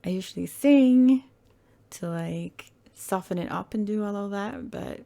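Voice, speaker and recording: Woman, hoarse croaky voice, gravelly fry, low mellow tone, rambling casual game commentary. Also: a little slow, lo-fi sound. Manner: rambling, hesitant, self-correcting. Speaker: gaming channel host.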